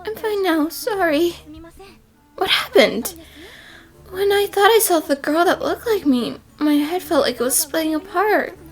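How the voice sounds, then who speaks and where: A woman voicing youthful, expressive young women with a girlish manner in a cartoon fan dub. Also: extremely high pitch, unhurried. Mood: subdued. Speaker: voice actor in amateur cartoon dub.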